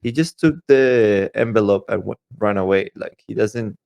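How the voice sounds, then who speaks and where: Male podcast co-host, lowish voice, dry recording, casual delivery. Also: moderate pace, creaky, a little mellow, no room sound. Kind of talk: casual chat about games.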